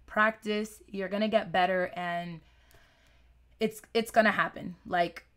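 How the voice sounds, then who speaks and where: A woman's voice: medium pitch, steady tone, unhurried, a bit bright, clear, resonant tone. A young woman fitness coach talking casually on a live webinar, rambling with lots of fillers, her voice a little worn. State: losing her voice.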